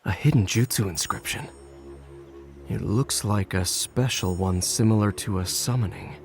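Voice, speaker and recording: Deep stern anime ninja dad, calm n measured, very serious, music behind. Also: male voice, vocal fry. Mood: grave, explanatory. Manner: measured, serious, matter-of-fact. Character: stern, composed ninja father and seasoned investigator. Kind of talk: anime video game cutscene acting. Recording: background music under the dialogue.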